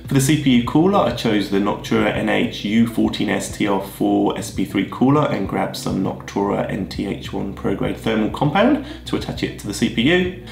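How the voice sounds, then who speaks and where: Husky man, gravelly and low. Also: moderate pace. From Australia. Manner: steady, methodical, explanatory. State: husky from a head cold. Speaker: video creator. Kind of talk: scripted tech vlog explanation. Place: plain room indoors, seated at a desk. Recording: roomy echo with music behind.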